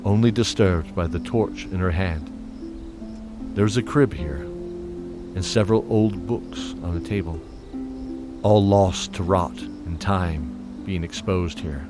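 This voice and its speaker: A man narrating a fantasy audio drama in a measured, scripted storytelling style with dramatic pauses. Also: low voice.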